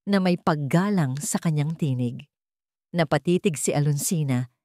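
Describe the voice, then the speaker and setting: A woman's voice: low voice, warm tone, no room sound, clean studio sound. A woman narrating a scripted love story in a dramatic storytelling style.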